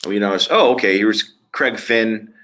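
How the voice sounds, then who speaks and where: A man presenting a software webinar walkthrough in a conversational, halting way with frequent pauses. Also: fairly low pitch. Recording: dull, lo-fi webinar audio.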